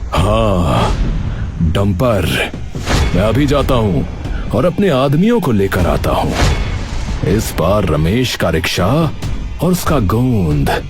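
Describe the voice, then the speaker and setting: A man plays a vengeful cartoon contractor who bullies and boasts. His threats are drawn out and swing widely in pitch, with music clearly underneath. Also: big pitch swings, slow, pauses often, full character voice. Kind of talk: animated Hindi story voice acting.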